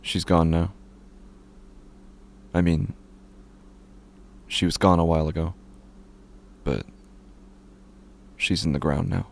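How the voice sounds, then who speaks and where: A man acting as a bereaved, subdued widower in a horror audio drama, speaking in a halting, understated way. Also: low-pitched, creaky, exaggerated voice. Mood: subdued, grieving. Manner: halting, flat, understated delivery. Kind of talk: scripted horror fiction narration.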